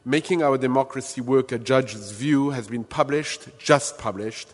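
Man hosting an event in a big hall. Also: medium pitch. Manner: halting and self-deprecating when ad-libbing. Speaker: host. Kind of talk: reading a formal event introduction. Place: large public library event hall.

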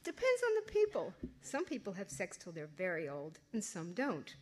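A woman's voice: on the low side, huge pitch swings, moderate pace, slightly rough, full character voice. A woman reading aloud and voicing an awkward middle-aged mother who answers hesitantly and uneasily. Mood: flustered.

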